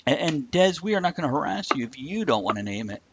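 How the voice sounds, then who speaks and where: A man's voice: fairly high pitch, very animated, fast talker, a bit bright. A man hosting a live show in casual, irreverent chat, swearing freely as he goes. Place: room, live stream. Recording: muffled, with clear background noise.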